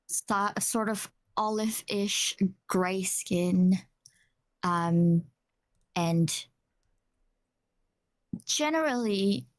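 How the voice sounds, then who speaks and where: A woman talks casually as a tabletop game player. She is extremely unhurried, describing things haltingly as if thinking aloud, at an everyday middle pitch. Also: poor audio.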